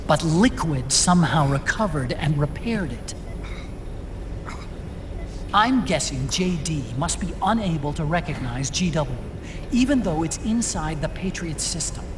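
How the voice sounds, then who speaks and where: A man playing an earnest, brainy technical expert explaining things in a video game cutscene. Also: a little high. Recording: noisy background ambience.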